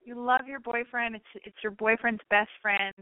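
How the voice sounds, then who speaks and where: Brief: woman call-in host, high voice, blunt and emphatic, dry, phone-thin sound. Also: steady tone, dry recording, phone-quality sound. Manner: blunt, emphatic, fast-talking advice. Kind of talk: call-in advice chat.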